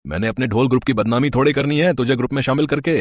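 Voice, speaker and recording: A man's voice: mid-range voice, rapid-fire, no echo, clean studio sound, natural voice. A man voicing a brusque, bossy owner of a wedding band in a story. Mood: dismissive, scolding. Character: gruff, dismissive male boss of a wedding band.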